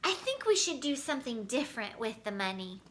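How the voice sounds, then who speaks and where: A woman voicing an earnest, excitable young schoolboy in a children's storybook. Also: a little high, fairly quick. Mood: hesitant, earnest.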